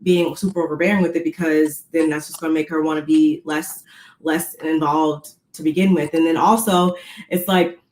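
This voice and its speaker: A woman's voice: low voice, steady tone, moderate pace, darkish tone. A woman co-hosting a podcast, chatting casually and rambling with lots of fillers. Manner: rambling, many fillers and restarts. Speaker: podcast co-host recapping reality TV. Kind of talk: casual chat. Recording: roomy echo.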